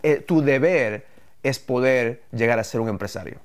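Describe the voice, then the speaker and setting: A man's voice: medium pitch, moderate pace. A man from Ecuador, a guest mentor on a podcast, speaking emphatically and repeating his points. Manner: emphatic, repeats phrases, restarts. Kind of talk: motivational podcast talk.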